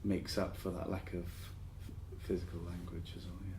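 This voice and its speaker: Deep flat-voiced guy on a panel, measured with ums, echoey room. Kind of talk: panel discussion. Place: university lecture room. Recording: echoey room, faint noise.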